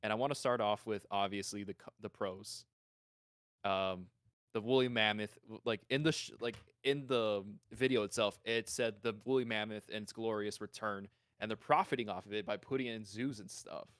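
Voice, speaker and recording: A man with a fairly low, smooth voice co-hosts a casual podcast. He talks informally and with animation, recorded close and dry with very little room sound. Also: fairly even intonation, normal speed, a bit bright, no echo. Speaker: podcast co-host.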